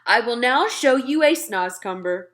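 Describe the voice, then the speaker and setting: A woman's voice: on the high side, expressive, a little slow, fairly bright tone. A woman voicing a very old friendly giant in a children's storybook, declaiming theatrically and indignantly in garbled grammar. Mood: contemptuous. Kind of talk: children's storybook read-aloud.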